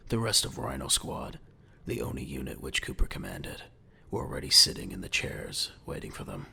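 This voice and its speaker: A man's voice: rumbling low, wildly swooping pitch, normal speed, vocal fry, sharp tone, a little hiss or hum. A man narrating an audio drama from a script in a dramatic storytelling style.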